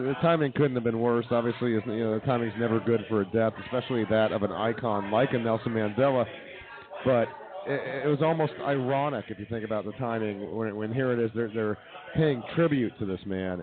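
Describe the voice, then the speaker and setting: A man's voice: medium pitch, a little fast. Brash male radio host over crowd noise. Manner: brash, informal, mildly profane. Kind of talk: live comedy talk radio banter. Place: live broadcast from a busy brewery. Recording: phone-like sound, loud bar crowd behind.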